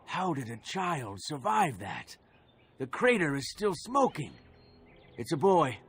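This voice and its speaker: A man voicing a reverent village man speaking in hushed wonder. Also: a little high, sing-song, slow pace, slightly rough, heavily acted. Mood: awed. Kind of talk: video game cutscene voice acting.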